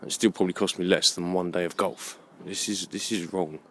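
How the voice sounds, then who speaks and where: A man's voice: low-pitched, steady tone, fairly quick, fairly bright tone. A man giving a rambling, unscripted, opinionated monologue to camera as a video blogger, often repeating himself and swearing freely. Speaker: video blogger with his own channel. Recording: clear background noise, rough amateur recording.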